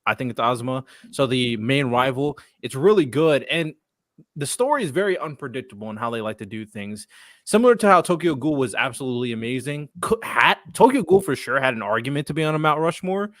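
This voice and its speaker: A man hosting a podcast, speaking in a relaxed, measured, casual way. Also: medium pitch, dry recording, clean studio sound. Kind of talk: casual chat.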